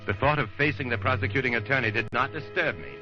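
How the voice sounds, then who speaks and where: An actor playing a scheming, self-satisfied lawyer in an old radio crime drama, with wordy, theatrical, formal diction. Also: male voice, medium pitch, quick pace, lightly acted. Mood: smug, calculating. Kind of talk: 1940s radio noir acting with narration. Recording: muffled old radio recording, music behind.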